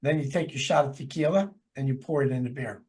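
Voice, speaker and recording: A man's voice: medium pitch, fast talker, darkish tone. New Jersey man with a New York accent. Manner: rambling, hesitant with fillers. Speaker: podcast co-host. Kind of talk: casual chat.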